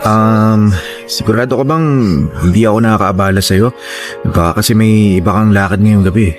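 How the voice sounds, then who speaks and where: An actor as a nervous young man courting someone in a radio drama. His voice is low and gentle, hesitant and stammering, with prominent music behind. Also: steady tone, normal speed, close to an everyday voice. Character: shy, nervous young male suitor. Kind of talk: Tagalog radio drama acting.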